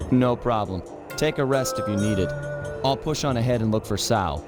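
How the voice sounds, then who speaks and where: A man's voice: on the low side, expressive, moderate pace, lightly acted. A man voicing a confident young animal master thief in a video game, with a wry, casual, self-assured delivery. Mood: easygoing. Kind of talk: video game hero acting. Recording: music behind the voice.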